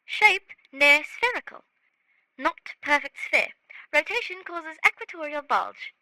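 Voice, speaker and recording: A woman's voice: sits high, lively intonation, unhurried, bright, forceful tone, dry recording, voice pushed up, full character voice, low-quality recording. A woman voicing a small, literal-minded, curious alien student who speaks in clipped, telegraphic phrases in an audio story. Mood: curious, precise.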